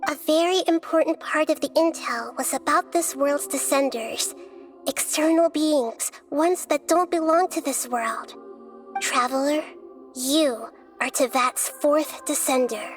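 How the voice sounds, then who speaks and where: A gentle, thoughtful young goddess of wisdom explains things calmly in a high voice. She speaks in a measured, careful way, and soft game music hums faintly underneath. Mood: calm, explaining. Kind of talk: game cutscene voice acting.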